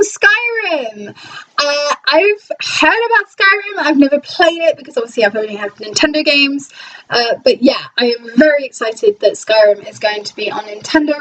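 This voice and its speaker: A woman's voice: high-pitched, far from the mic, rough recording. A woman cosplayer chatting casually to her viewers, hesitant and full of fillers. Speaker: cosplayer and vlogger. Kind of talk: casual video chat to viewers.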